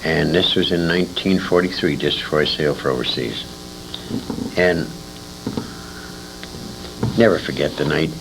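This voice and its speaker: Very deep, gravelly older American man. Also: moderate pace, long pauses. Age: 60s. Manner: animated anecdotal storytelling with emphatic repetition. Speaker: interview guest, old-time radio veteran. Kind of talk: casual reminiscing interview. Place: radio convention, live interview. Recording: noisy amateur convention interview tape.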